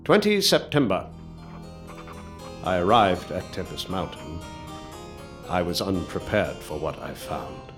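A man's voice: low-pitched, very animated, slow, pauses often, music in the background, heavily acted. An actor playing a polite young war veteran who narrates his journal and stammers hesitantly, in a radio drama. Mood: narrating. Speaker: narrator and lead character.

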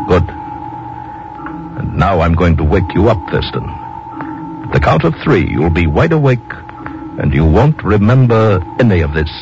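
A scheming general with a low, widely swinging voice. He gives orders deliberately, like a hypnotist in command. It is a 1950s radio thriller on a muffled recording with music behind. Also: very animated, full character voice. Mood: commanding, controlled. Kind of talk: 1950s radio drama acting.

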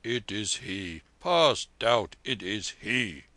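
A man reading aloud, voicing a stiff old retired soldier who speaks slowly and gravely. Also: fairly low pitch, expressive, sharp tone, dry recording, exaggerated voice. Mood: awed.

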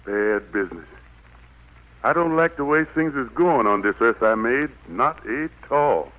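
A fatherly, grave God looking over his world. The male voice is medium in pitch and heavy with solemn disapproval. It has the manner of 1940s radio drama. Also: animated, measured pace, fairly bright tone, poor audio. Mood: grave displeasure. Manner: solemn, brooding. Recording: vintage 1940s radio broadcast.

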